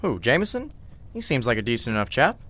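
A man playing a polite, level-headed lawyer in an old-time radio drama, speaking in a measured, slightly formal way. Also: mid-range voice, wildly swooping pitch, pushed and bright, full character voice. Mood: puzzled.

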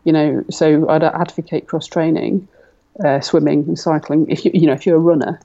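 Gravelly low-key woman guest. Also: normal speed, muffled recording. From Britain. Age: 40s. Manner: relaxed, thinking aloud with many fillers. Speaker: guest athlete. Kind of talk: casual conversational interview.

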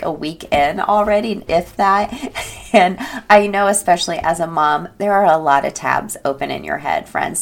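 Hoarse upbeat woman podcast host. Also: on the low side. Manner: upbeat, chatty, laughs often. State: hoarse from a head cold. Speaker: podcast host and personal trainer. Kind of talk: casual podcast talk.

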